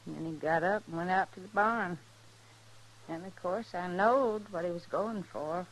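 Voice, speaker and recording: An actress playing a middle-aged, long-abused rural wife in a radio western, plain and quietly resolute, with a rural American accent. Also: female voice, on the low side, natural voice. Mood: quiet bitterness. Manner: plain, unhurried, folksy. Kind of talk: 1950s radio western acting. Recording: noisy old radio drama recording.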